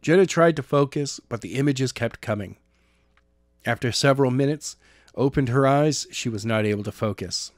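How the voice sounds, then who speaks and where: A man's voice: mid-range voice, normal speed, dry recording, studio quality. A man reading a story aloud as an amateur narrator, now and then stumbling and rereading a phrase. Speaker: audiobook narrator.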